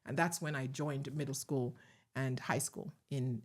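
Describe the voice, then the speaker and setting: A middle-aged woman with a Ugandan accent speaks in a low register, telling her story in a measured, reflective way. She lets soft laughs slip in as she talks, answering questions as an interview guest. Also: steady tone.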